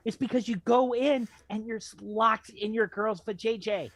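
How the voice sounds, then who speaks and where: A man's voice: high voice, steady tone, moderate pace. A man chatting casually in a read-aloud group, joking and swearing as he comments. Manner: joking, profane. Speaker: book club reader and commentator.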